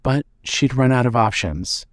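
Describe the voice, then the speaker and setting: A man reading scripted narration for an audio drama in a measured way. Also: mid-range voice, animated, no room sound, clean studio sound.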